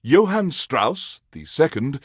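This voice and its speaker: Theatrical, pompous alien warlord radio DJ. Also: male voice, fairly high pitch, sing-song, slow, husky, dry recording, studio quality, full character voice. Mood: haughty, rapturous. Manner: pompous, grandiose, savoring each phrase. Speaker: classical music radio host. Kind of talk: theatrical scripted radio DJ monologue.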